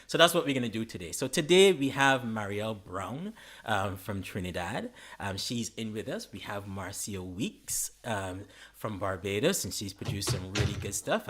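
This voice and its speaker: A man's voice: fairly low pitch, a bit bright. Easygoing Caribbean man hosting a live chat. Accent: Caribbean English. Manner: laughs often, easygoing and joking. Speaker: host. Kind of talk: casual live-stream chat.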